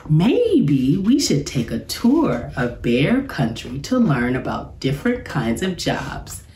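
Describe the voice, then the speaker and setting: A woman voicing a friendly, eager male bear professor from a storybook, with drawn-out questioning delivery. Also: deep voice, wildly swooping pitch, dark tone, a little hiss or hum, heavily acted. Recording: roomy, echoing indoor sound.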